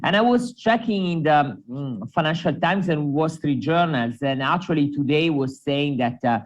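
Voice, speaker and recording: A man with a strong Italian accent giving tips as a journal editor on a conference panel, pausing often on fillers. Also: fairly high pitch, animated. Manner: hesitant, many fillers.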